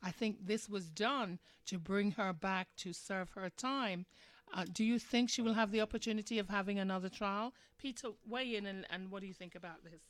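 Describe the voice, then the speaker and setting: A woman's voice: medium pitch, moderate pace, no echo. A woman hosting a live radio discussion, speaking in a conversational, opinionated way and putting questions to her listeners. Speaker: radio show host.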